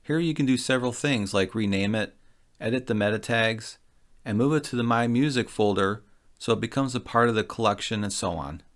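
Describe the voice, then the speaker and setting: A man's voice: fairly low pitch, a little fast. A man presenting a product review as scripted, step-by-step narration. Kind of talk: scripted narration of a gear demonstration.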